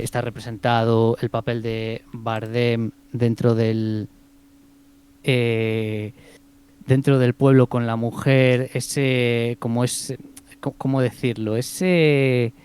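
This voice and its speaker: A man with a Spanish accent from Spain talks through a film review in a relaxed podcast chat. His voice is smooth and resonant, at a middle pitch. He pauses often, fills gaps and restarts his sentences as he thinks aloud. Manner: hesitant, many fillers and restarts.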